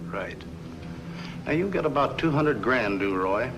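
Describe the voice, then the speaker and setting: An actor playing a cunning, guarded circus owner and killer in a 1950s film noir. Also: male voice, mid-range voice, expressive, a little slow, dull audio. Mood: coolly threatening. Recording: noisy old film soundtrack.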